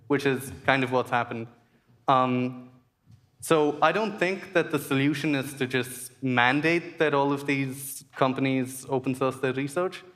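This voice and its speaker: A young man answering at length as a panel guest, earnest and measured with frequent fillers. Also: medium pitch, a bit bright. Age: young adult. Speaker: essay competition finalist.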